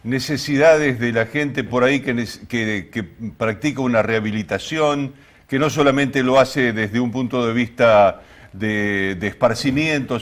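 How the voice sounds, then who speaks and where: Male Argentine interviewer, medium register, conversational host delivery. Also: medium pitch. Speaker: radio or TV host.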